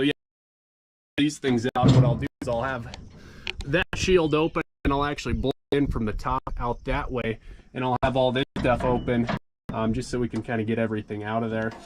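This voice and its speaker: A man's voice: medium pitch, normal speed, noisy background. A man from Montana with a stuffed-up nose from a cold, casually walking viewers around a combine on a live stream. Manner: relaxed rambling with fillers, greets chat viewers. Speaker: farmer livestreaming.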